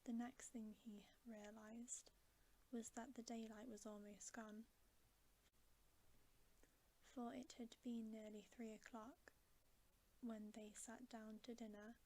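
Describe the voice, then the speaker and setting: A woman reading a bedtime story for ASMR in a soft, hushed, unhurried whisper. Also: fairly high pitch, low-quality recording. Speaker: ASMR reader and channel host. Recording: faint background hiss.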